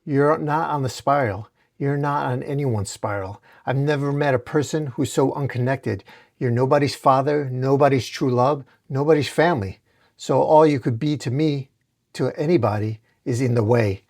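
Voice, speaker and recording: A man's voice: medium pitch, normal speed, darkish tone, natural voice. A man voicing a menacing, taunting villain from a comic book, making matter-of-fact threats as a cold killer. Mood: cold menace.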